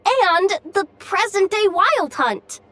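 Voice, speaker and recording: A woman's voice: extremely high pitch, very animated, slow, bright, forceful tone, exaggerated voice. A woman voicing a breezy, playful young messenger out for coin in a video game, casual in manner. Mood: baffled. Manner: casual, playful, laughs between phrases. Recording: music behind the voice.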